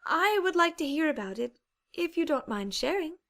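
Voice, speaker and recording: Woman doing a posh-mannered girly pony lady, high voice, genteel and caring, kinda theatrical, audiobook character. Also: sing-song, normal speed, fairly bright tone, heavily acted. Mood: politely advising. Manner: genteel, polite, theatrical phrasing. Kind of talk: dramatized audiobook character acting.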